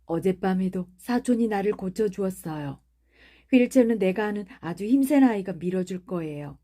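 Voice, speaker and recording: A woman's voice: mid-range voice, animated, moderate pace, dark tone, natural voice. A woman voicing a sickly, imperious young boy who gives orders like a little prince, in an audiobook. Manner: commanding, demanding. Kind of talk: audiobook character reading.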